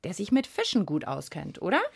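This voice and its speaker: Animated woman radio presenter. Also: lowish voice, fairly quick, vocal fry, slightly rough. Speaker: co-host of children's radio show. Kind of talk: casual explanatory co-host chat.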